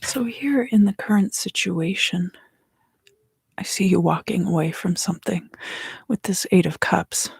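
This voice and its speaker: A woman giving an ASMR tarot reading in a hushed, breathy whisper, gently and with pauses. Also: lowish voice, no echo. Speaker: tarot reader and host.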